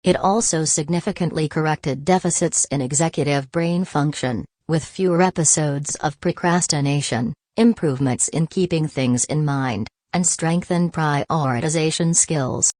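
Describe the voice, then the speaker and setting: Woman w low voice reading academic text out loud, formal, super dry recording. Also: normal speed, hardly any pauses, no echo. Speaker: reader of exam practice texts. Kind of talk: scripted read-aloud of short academic passages.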